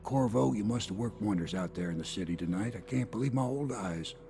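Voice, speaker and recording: An actor playing a humble, kindly old boatman in a video game, with a gravelly voice and a folksy, deferential manner. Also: fairly low pitch, animated, brisk, dark tone, faint music behind. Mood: admiring. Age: older. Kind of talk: video game character acting.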